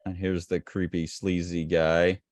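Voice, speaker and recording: Man, co-host, very low voice, dry close sound, casual rambling art talk. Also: level tone, unhurried, a little mellow, no echo. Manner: loose and enthusiastic, many fillers and restarts.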